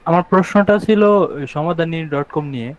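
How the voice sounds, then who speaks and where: A man asking a spontaneous question as an online attendee, halting and rephrasing, in muffled call audio. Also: on the high side.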